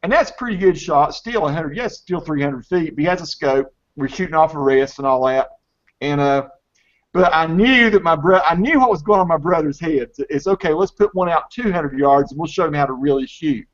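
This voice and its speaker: A man's voice: fairly high pitch, brisk. A man in his fifties with a Southern US accent, hosting a casual video call chat and often repeating words as he restarts. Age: 50s. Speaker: host. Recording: dull lo-fi video call audio.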